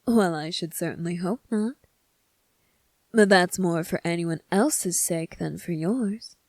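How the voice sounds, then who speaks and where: A woman playing a cunning, self-assured young prince in an ASMR roleplay, speaking in a measured, formal, teasing way. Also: lowish voice, a little mellow, no echo. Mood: smug, composed.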